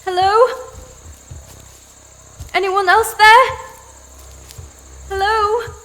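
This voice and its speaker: A woman playing a young, rattled, dutiful female space marine crewman in an audio drama, speaking haltingly. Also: very high-pitched, steady tone, fairly bright tone, heavily acted. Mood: anxious, searching. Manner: halting, stammering under pressure. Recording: long reverb, music underneath.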